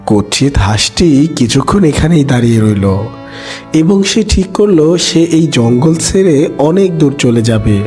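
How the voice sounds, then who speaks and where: A man's voice: fairly high pitch, very animated, a little slow, darkish tone. Male storyteller with music behind. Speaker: narrator. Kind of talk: scripted children's story narration.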